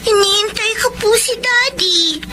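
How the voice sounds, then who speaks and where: A woman's voice: very high voice, unhurried. An actress playing a polite but stubborn little girl in a Filipino radio drama. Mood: polite, insistent. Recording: very noisy background.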